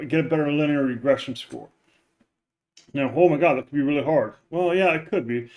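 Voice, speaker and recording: Man, professor, casual recorded lecture, rambling, filler words, voice-normalizing filter. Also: a little mellow. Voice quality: says his voice is very deep. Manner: rambling, informal, many fillers and restarts. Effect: neural voice normalizing filter.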